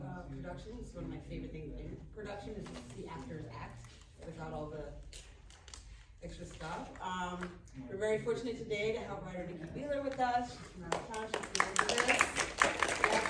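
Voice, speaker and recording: A woman who is an executive producer, hosting an event with an informal spoken introduction. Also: medium pitch. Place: live event room, indoors. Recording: noisy, very echoey room, distant mic.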